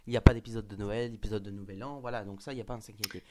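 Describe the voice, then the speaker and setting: A man's voice: lowish voice, steady tone, very fast, a crowd in the background. A man with a slight cold hosting a casual fan discussion, talking, hesitant and full of fillers and restarts. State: has a cold. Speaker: host.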